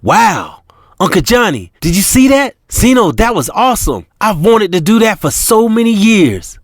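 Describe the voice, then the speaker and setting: Brash young woman, high and animated, voiced by a male narrator. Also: no echo, clean studio sound. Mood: excited. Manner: loud, fast, indignant. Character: brash young woman, loud, street-talking.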